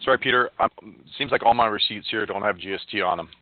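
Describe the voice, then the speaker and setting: A man giving an informal, step-by-step software walkthrough on an online web conference. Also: on the low side, fast talker. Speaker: software demonstrator. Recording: dull, muffled online webinar audio.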